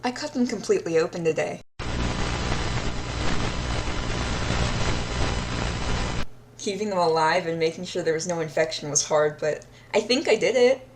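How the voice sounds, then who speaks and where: A woman's voice: on the low side, lively intonation, fast, a little hiss or hum, natural voice, poor audio. A woman playing a deranged, obsessive yandere captor in an audio roleplay diary, sadistic yet childlike, speaking in a dreamy, intimate manner and lingering on words. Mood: fond, proud. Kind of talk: audio roleplay monologue, recorded diary confession.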